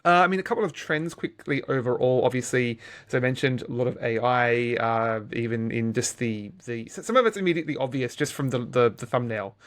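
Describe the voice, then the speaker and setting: A man's voice: mid-range voice, a little fast, few pauses, a bit bright. A man co-hosting a podcast, chatting casually about games in a rambling way, often restarting his phrases. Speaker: podcast co-host.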